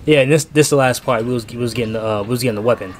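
A young American man giving casual let's-play commentary, slangy and expressive, often repeating himself. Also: medium pitch, rapid-fire, slight background noise. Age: young adult. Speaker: gaming YouTuber. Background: game audio and music.

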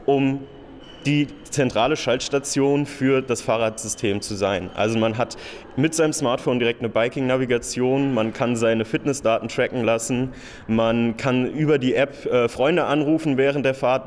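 A man's voice: mid-range voice, steady tone, normal speed, darkish tone, a little hiss or hum. A young man in his twenties explaining his design work at length, with fillers, into a handheld mic in an exhibition hall. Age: 20s. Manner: explains in long, detailed sentences with fillers. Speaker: design graduate. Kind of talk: interview at an exhibition. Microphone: handheld interviewer mic.

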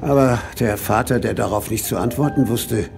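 An actor playing a thoughtful village schoolmaster who tells an old tale in measured, literary phrases for an audio drama. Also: male voice, mid-range voice, gravelly, over music, lightly acted. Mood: measured, absorbed. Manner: measured, literary storytelling with emphatic swells. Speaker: storyteller. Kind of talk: German audio drama narration.

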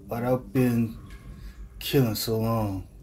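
A man voicing a weary male cowboy monster hunter in an amateur motion comic, grim and low-key. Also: steady tone, takes it slowly, a little mellow, a little hiss or hum. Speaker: narrator and voice actor. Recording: over background music.

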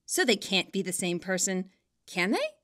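A woman narrating a scripted story in an expressive, wryly dramatic way. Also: mid-range voice, normal speed. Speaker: author narrating own fan fiction.

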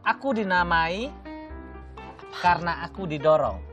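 A man's voice: high voice, animated, unhurried, muffled recording. A young adult man acting as quiz master in a TV studio, reading out riddle clues. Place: TV studio kitchen set, indoors. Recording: clear background music under studio talk.

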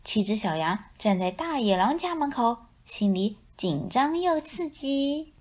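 语速舒缓、抑扬顿挫的女性故事讲述者. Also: female voice, fairly high pitch, very animated, a little slow. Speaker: storyteller. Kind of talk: expressive children's picture book narration.

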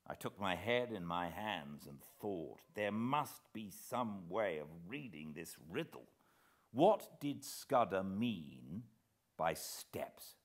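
A British man in his fifties narrating a story in a measured, expressive, dramatic way. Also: sits low. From Britain. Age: 50s. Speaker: audiobook narrator. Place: living room beside a fireplace, indoors.

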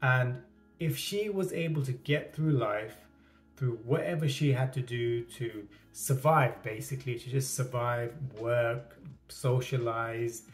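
A man's voice: medium pitch, slow, faint music behind. A man in his thirties who stutters, talking casually to camera in a small indoor room, often repeating words and restarting. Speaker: vlogger.

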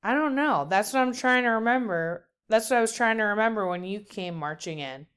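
A woman's voice: a little high, animated, fairly quick, a bit bright, clean tone. A woman voicing an exasperated fifth-grade boy, a bossy and curt big brother in a storybook. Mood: irritated. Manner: curt, dismissive toward his sister.

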